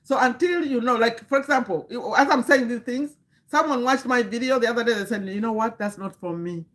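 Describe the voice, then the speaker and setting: A woman's voice: on the high side, a little fast, a bit bright. A woman in her fifties gives an earnest, lecturing livestream talk to her chat, restarting sentences and breaking off to greet viewers. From Uganda. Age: 50s. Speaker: livestream host and community coach.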